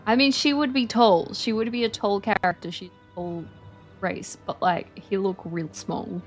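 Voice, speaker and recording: A woman's voice: mid-range voice, steady tone, a little slow, muffled recording, faint music behind. A young Australian woman chatting casually while streaming a game, rambling with lots of fillers.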